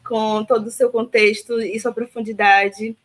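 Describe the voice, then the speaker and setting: A woman in her thirties from Salvador, Brazil, answering interview questions reflectively with many pauses and fillers. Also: a little high, flat delivery, fairly bright tone, slight background noise. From Salvador, Bahia, Brazil. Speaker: guest, philosophy teacher.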